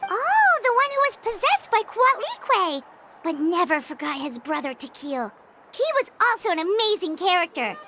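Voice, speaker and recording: A woman voicing a chirpy, childlike fairy companion in a video game, excitable and chatty, speaking in exclamations. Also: very high-pitched, moderate pace, noisy background. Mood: excited, eager. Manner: refers to self in third person, exclamatory. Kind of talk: video game character acting. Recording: loud game music behind.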